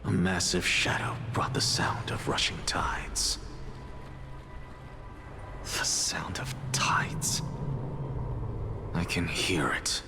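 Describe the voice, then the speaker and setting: A man playing a brooding, theatrical scholar who narrates a dream in a fantasy game, with deliberate, dramatic delivery. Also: deep voice, fairly even intonation, gravelly, whispered, exaggerated voice. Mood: ominous, awed. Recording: loud music behind the voice.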